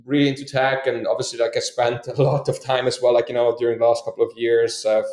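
A man from Switzerland answers interview questions at a middling pitch. He rambles and restarts, with many fillers. The recording has a roomy echo. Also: level tone, quick pace, darkish tone. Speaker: guest, company co-founder. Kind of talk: casual podcast interview answers.

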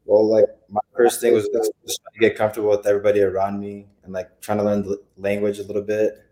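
A young man from Tanzania answering interview questions in a modest, low-key way. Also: monotone, quick pace, dark tone, poor audio. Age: young adult. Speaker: interview guest, student athlete.

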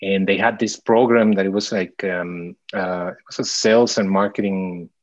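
Low-voiced guy from mexico, stops and restarts a lot, muffled dry sound. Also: fairly even intonation, moderate pace, dry recording. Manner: hesitant, many restarts and fillers. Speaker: podcast guest. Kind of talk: casual interview chat.

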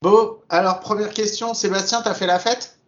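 High-voiced laughing podcast host. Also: male voice, fairly even intonation, moderate pace, fairly bright tone, dull audio. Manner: laughs often, steers the show. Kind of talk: casual podcast chat.

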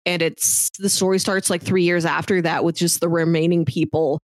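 A woman's voice: on the low side, steady tone, moderate pace, no echo. Woman reviewer with a close, dry sound. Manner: analytical, articulate, elaborates at length. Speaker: podcast co-host and reviewer. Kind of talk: casual film and TV review chat.

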